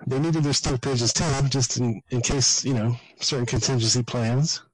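A man's voice: mid-range voice, steady tone, moderate pace, low-quality recording. Casual, sardonic man with mid-range voice. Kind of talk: casual chat.

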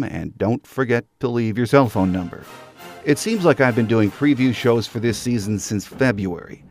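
An American man with a fairly low voice hosts a sports podcast. He moves through announcements and game talk in a conversational, folksy way. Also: a little mellow. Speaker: podcast host. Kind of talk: sports podcast monologue and interview intro.

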